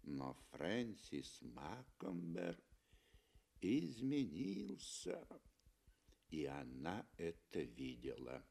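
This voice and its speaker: A man reading literary narration for a 1960s radio play, with expressive, emphatic phrasing. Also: sits low, extremely slow, vocal fry, slightly rough. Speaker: audio drama narrator. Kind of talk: dramatic literary narration, 1960 Soviet radio. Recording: 1960 Soviet radio recording.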